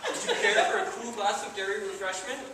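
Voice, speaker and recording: A man's voice: high voice, very animated, fast. A man playing an awkward, pedantic young geek proud of his intellect, with stiff, formal, stammering delivery in a stage comedy. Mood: nervous. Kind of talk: live amateur stage comedy acting. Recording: echoey theater from far off, noisy.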